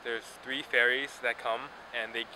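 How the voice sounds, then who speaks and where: A man's voice: medium pitch, level tone, moderate pace. A man interviewed on the street, recalling things in casual talk. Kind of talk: casual recollection and chat. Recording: faint outdoor urban noise.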